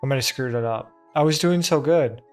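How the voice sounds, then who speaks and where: A woman's voice: a bass voice, fairly quick, a little mellow, soft background music. A woman giving casual gameplay commentary, muttering to herself as she thinks things through. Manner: muttering to self while thinking. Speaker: gaming streamer.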